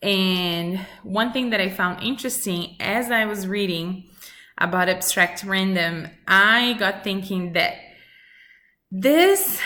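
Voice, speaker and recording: A woman, introducing herself to a class in an earnest, measured way with many pauses and fillers. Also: mid-range voice, bright, forceful tone. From Brazil. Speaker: student. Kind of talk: recorded self-introduction for a class.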